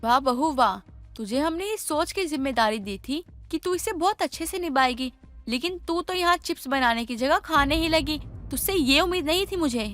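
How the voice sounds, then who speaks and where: A woman's voice: sits high, lively intonation, moderate pace, fairly bright tone, dry recording, faint music behind. A woman playing an older mother-in-law and household matriarch in a dramatized moral story, speaking in an emphatic, homely, instructive way. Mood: scolding.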